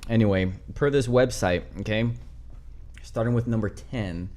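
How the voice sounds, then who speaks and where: A man's voice: fairly low pitch, normal speed, darkish tone, a little hiss or hum. A man hosting a casual podcast, counting down a list of movies, rambling and swearing while he fumbles for names. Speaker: podcast host.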